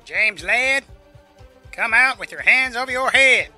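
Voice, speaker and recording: A man's voice: extremely high pitch, animated, measured pace, bright, forceful tone, faint music behind, pitched above the performer's own voice, full character voice. A man voicing an alarmed police officer in a superhero audio story, with an urgent, raised delivery. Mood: commanding. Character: tense, alarmed male police officer on bullhorn and radio.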